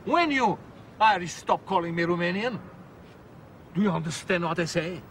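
Indignant man, bright and forceful. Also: high voice, lively intonation, moderate pace. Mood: indignant, angry. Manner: indignant, swearing, blunt broken English. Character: angry Bulgarian man, fed up with being mistaken for Romanian. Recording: film clip outdoors with traffic noise.

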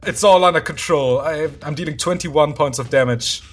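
A man playing a tabletop game, chatting in casual, excitable banter with plenty of swearing. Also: on the high side, moderate pace. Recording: music playing under the voice.

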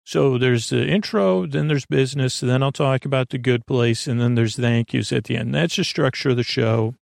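A man with a creaky voice hosting a bedtime podcast in a gentle, meandering conversational way, reassuring and often interrupting himself with tangents. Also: mid-range voice, normal speed, dry recording, studio quality. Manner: meandering, hesitant, full of tangents and self-interruptions. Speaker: podcast host.

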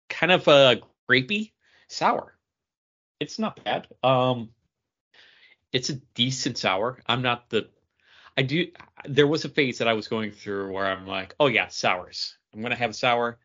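A man's voice: medium pitch, lively intonation, unhurried, fairly bright tone, dull audio. A man co-hosting a podcast in casual, conversational chat. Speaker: podcast co-host.

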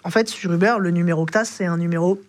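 A man's voice: high-pitched, steady tone, fast. Man telling driving stories casually. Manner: rambling, heavy slang and fillers. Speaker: rideshare driver guest. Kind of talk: casual storytelling.

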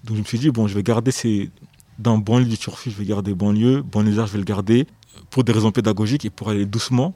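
A man's voice: fairly low pitch, fairly even intonation, quick pace, warm tone, dry recording. A young man, an author being interviewed on the radio, speaking thoughtfully and hesitantly, often repeating words as he searches for phrasing. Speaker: author guest.

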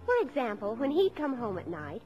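A woman's voice: on the high side, sing-song, fairly quick, darkish tone, muffled recording. An actress playing a fond daughter who narrates her family story in 1950s radio drama style. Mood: fond, storytelling. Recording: old radio broadcast with music behind.

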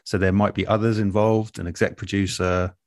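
A man speaking as an interview guest in a relaxed conversation, thoughtful and articulate, pausing as he measures out his answers. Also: low voice, no room sound, clean studio sound. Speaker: guest, radio and podcast producer.

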